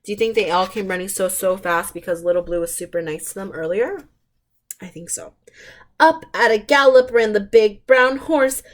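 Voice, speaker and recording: A woman's voice: medium pitch, moderate pace. A woman hosting storytime, reading picture books aloud in simple, emphatic phrases and putting questions to young listeners. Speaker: storytime host reading to children.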